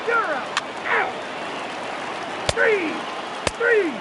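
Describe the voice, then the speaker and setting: A baseball umpire in a video game, his voice pushed very high and swinging wildly. He bellows slow, drawn-out calls over loud crowd noise. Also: huge pitch swings, exaggerated voice. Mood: forceful, emphatic. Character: shouting baseball umpire making forceful calls.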